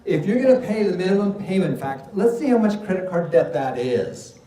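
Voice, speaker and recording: A man presenting a live seminar, joking with the audience and calling up volunteers in an energetic way. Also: high-pitched, a little fast. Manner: energetic, joking, drives the crowd. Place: large hall with live audience. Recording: echoey hall with crowd noise.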